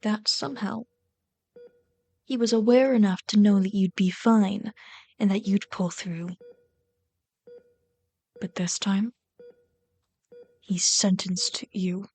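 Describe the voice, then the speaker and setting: A woman's voice: mid-range voice, fairly even intonation, mellow. A woman playing an obsessive, lovelorn villain in an ASMR roleplay, bitter and pleading, speaking intimately and dramatically with frequent drops toward a whisper. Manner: slow, intimate, dramatic delivery, often dropping to a whisper.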